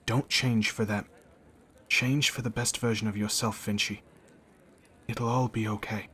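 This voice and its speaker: A man playing a kind, steady prince and knight captain who reassures a friend in a scripted fantasy audio drama. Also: fairly low pitch, measured pace, slightly rough. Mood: reassuring, earnest.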